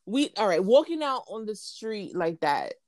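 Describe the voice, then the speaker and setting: A woman's voice: medium pitch, big pitch swings, moderate pace, dry recording, clean studio sound. A woman, co-hosting a casual chat, frank and pressing with her questions. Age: late 20s. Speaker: podcast co-host.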